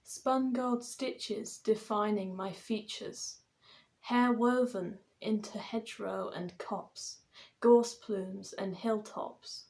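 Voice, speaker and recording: A woman reciting her own poem deliberately, pausing between short phrases. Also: mid-range voice, creaky. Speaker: poet reading own poem. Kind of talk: poetry recitation.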